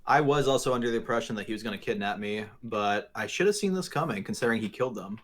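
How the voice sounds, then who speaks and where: A man's voice: on the low side, animated, fast talker, a bit bright. A man chatting casually as a player in a tabletop role-playing game.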